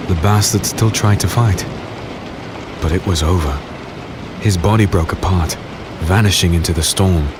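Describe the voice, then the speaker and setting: An actor playing a defiant, battle-worn swordsman who narrates and speaks in a dark fantasy audio drama, gritty and earnest. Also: low-pitched. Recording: loud storm noise under voice. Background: rain, thunder and storm ambience.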